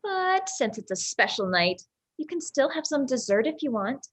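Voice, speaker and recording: A woman playing a mean, sweetly mocking young gothic sister in a theatrical script reading, with drawn-out delivery. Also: high-pitched, sing-song, breathy edge, exaggerated voice. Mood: mock sweetness.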